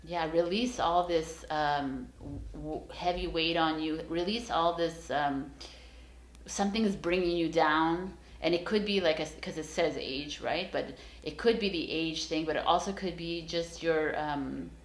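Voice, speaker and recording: A woman's voice: low voice, measured pace, echoey room. A woman giving a casual, encouraging tarot reading straight to her viewers, rambling with many fillers and restarts. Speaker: tarot reader.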